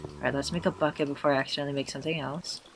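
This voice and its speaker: A man with a hoarse, tired voice, self-consciously rambling through casual gameplay commentary with many fillers and restarts. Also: fairly high pitch, level tone, very fast, noisy background. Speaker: let's player.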